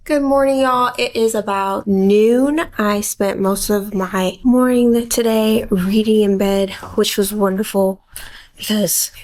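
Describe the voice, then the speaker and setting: A woman's voice: mid-range voice, fairly even intonation, takes it slowly, darkish tone. An American woman talking in a casual, chatty, informal way as a book vlogger. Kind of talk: casual vlog chat.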